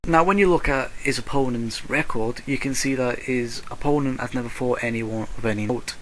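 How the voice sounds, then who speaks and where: A man's voice: medium pitch, normal speed, fairly bright tone, slight background noise, low-quality recording. A British man giving casual solo boxing commentary in a blunt, slangy, opinionated way. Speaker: boxing vlogger.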